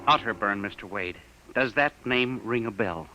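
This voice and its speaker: An actor playing an earnest, excitable, deferential American clerk, eager and stammering, in 1950s radio drama style. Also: male voice, on the low side, moderate pace, natural voice. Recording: muffled old radio recording.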